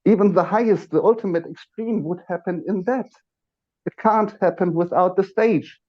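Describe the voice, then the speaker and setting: A man as an interview guest, speaking reflectively about spiritual ideas, searching for words with many pauses and restarts. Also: high voice, dull audio. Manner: halting, searching for words, with frequent fillers and restarts. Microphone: video call.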